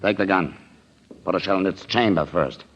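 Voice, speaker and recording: An actor playing a cold-blooded, smug, commanding gangster killer in an old-time radio crime drama. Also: male voice, low-pitched, moderate pace, fairly bright tone. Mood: gloating menace. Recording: dull vintage radio recording.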